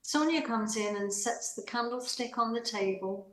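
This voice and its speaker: A woman's voice: on the high side, moderate pace, fairly bright tone. A woman reading out stage directions as scripted narration for a play. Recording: echoey room.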